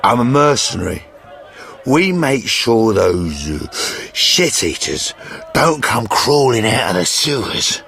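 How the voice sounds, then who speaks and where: A man's voice: medium pitch, very animated, takes it slowly, gravelly, fairly bright tone, exaggerated voice. A man voicing a crude, boastful yet sentimental mercenary sergeant in a fantasy video game, with blustering theatrical delivery. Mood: gruff boasting.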